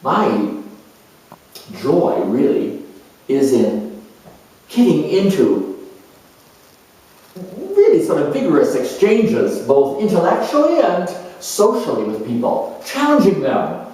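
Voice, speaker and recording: A man performs a charming, self-assured male politician musing aloud in a character monologue. His delivery is slow and halting, with emphatic swells. The recording is very echoey, with the voice set well back from the microphone. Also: high voice, darkish tone, heavily acted. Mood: opinionated, emphatic. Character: proud, charming, opinionated male career politician turned world judge. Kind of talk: dramatized first-person character monologue. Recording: roomy echo, distant microphone.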